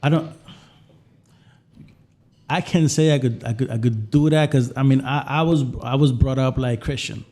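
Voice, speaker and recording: A man's voice: medium pitch, normal speed, pauses often, darkish tone. A man from Puerto Rico talking casually as a podcast guest, telling personal stories in an informal way and often repeating his phrases. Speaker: guest chef.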